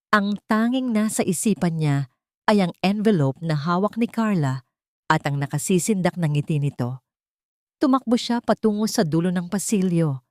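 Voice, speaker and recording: A woman's voice: deep voice, darkish tone, no room sound, studio quality. A woman narrating a scripted, dramatic audio story.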